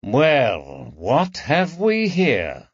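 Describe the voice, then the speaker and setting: A male narrator plays a grave, methodical period detective in an audiobook. He speaks deliberately and with command, at a middle pitch. The sound is dry and a little dull. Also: huge pitch swings, husky, dry recording, full character voice. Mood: intent.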